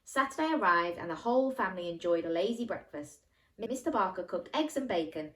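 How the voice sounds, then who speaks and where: A woman storyteller reads for young children with animated delivery and a medium-pitched voice. She slips playful questions and asides to the listener into the narration, keeping it interactive. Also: fairly quick.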